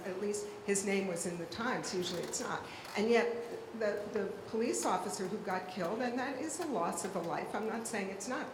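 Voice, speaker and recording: A woman's voice: mid-range voice, background noise. A woman in her sixties speaking passionately and emphatically as a panelist at a public talk. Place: large hall at a public event. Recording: echoey hall, live audience ambience.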